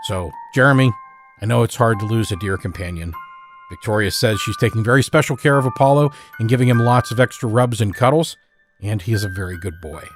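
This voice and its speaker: A man introduces an episode of his audio fiction show, reading the script in an earnest, mid-pitched voice. Soft music plays underneath him. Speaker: podcast host.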